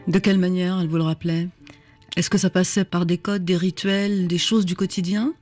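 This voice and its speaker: Radio host, gently probing questions, mid-pitched voice, studio. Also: level tone, brisk, soft background music. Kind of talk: radio interview.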